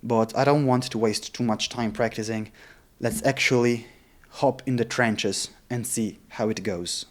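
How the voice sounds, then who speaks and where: A man's voice: mid-range voice, steady tone, unhurried. A man who speaks English as a non-native language, with Italian or German as his stronger tongue, talking casually and candidly as a vlogger. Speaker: vlogger practicing sales cold calls. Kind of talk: casual vlog talk.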